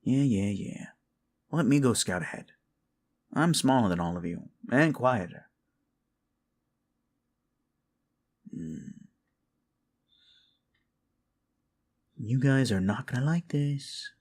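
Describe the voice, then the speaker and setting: A man's voice: mid-range voice, lively intonation, measured pace. A man voicing a small, sneaky, wisecracking raccoon scout in a fan audio drama, casual and wry. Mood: wary.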